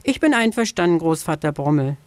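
A proud straw scarecrow who guards a melon patch barks out gruff, boastful lines, as a woman reader puts him on. The voice sits a little raised, and the recording is dry and close, like an old children's radio story. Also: deep voice, expressive, a little fast, darkish tone, no room sound. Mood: stern.

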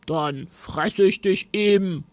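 A woman's voice: fairly low pitch, takes it slowly, no room sound. A woman voicing a huge, bored, bullying monster in a children's story, growling and snapping out orders. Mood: threatening, bossy. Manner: growling, grunting, snapping commands. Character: huge bored, bullying male-voiced fairground monster, hungry for company.

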